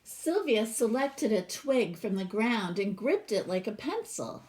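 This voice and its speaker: A woman reading a children's picture book aloud like a teacher, animated and stopping for asides and questions to young listeners. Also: medium pitch, a little slow. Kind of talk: expressive storybook read-aloud with asides to children.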